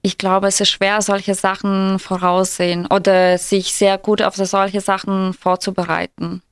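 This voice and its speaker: A woman in her twenties hosting a casual podcast, explaining things in a measured way with many hesitations. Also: mid-range voice, little pitch movement, smooth, dry recording, clean studio sound. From Ukraine. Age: 20s. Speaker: podcast host.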